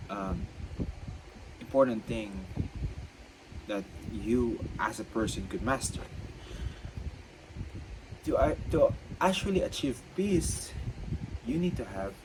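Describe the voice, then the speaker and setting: A young man, a student, talking unscripted about self-control, with a stutter that makes him halt and repeat himself. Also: mid-range voice. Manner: stutters, halting and repetitive. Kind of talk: unscripted reflective monologue. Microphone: own mic. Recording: loud background noise.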